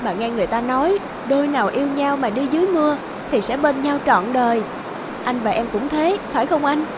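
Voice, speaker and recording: A young woman voicing a sweet, loyal girl in love in an animated story, gentle and earnest. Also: high voice, brisk, background noise, close to an everyday voice. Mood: affectionate. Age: young adult.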